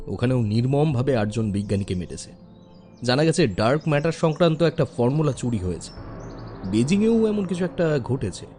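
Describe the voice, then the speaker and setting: Man acting a mellow, thoughtful family friend. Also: mid-range voice, natural voice. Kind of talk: audio story acting.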